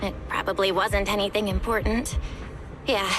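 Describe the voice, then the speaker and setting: A woman's voice: mid-range voice, little pitch movement, moderate pace, close to an everyday voice. An actress playing a sensitive young woman caught in a nightmare, hesitant and emotional, in dramatic video game style. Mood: confused, wistful. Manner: hesitant, emotional, breaking into anguished pleas. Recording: loud music under the voice.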